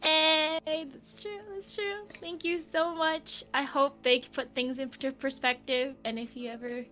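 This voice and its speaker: Female tarot-reading stream host, very bright higher voice, casual and giggly, background music. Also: steady tone, a little slow. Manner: giggly, informal.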